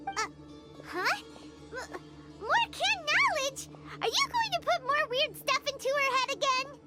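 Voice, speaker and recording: A woman voicing a tiny, excitable, childlike female fairy companion with a squeaky voice in a video game. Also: measured pace, loud music behind the voice. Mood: scared, indignant. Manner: refers to self in third person, exclamatory. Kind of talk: video game cutscene voice acting.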